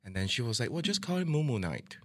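A man's voice: medium pitch, fast talker, slight background noise. A man, a bar manager, telling a story in a casual interview, acting out what others said. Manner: animated storyteller, quoting others.

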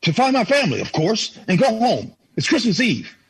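Very animated, stubborn family man. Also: high-pitched, normal speed, slightly rough, heavily acted. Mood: determined. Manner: animated muttering, folksy exclamations. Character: stubborn, exasperated family man, a determined 1950s traveler. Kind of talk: old-time radio drama acting.

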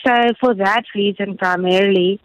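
A woman with an Afrikaans accent, a native Afrikaans speaker, answering as an interview guest in a steady, explanatory way with many "um" pauses. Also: mid-range voice, dry recording, highs cut off. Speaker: education project coordinator.

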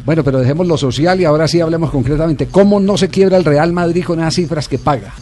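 A man's voice: fairly high pitch, fast talker, a little mellow, faint music behind. A man hosting a sports radio show, steering the talk with questions. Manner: leading, asking questions. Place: radio studio.

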